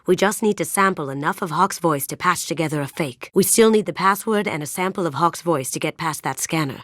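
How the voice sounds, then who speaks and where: A confident young female thief from a video game , played by an actress, speaks in a low feminine register. She is sly and playful, with a hushed, self-assured manner. The sound is dry, with no room on it. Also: dry recording, lightly acted. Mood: cool, focused. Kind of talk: game character voice lines.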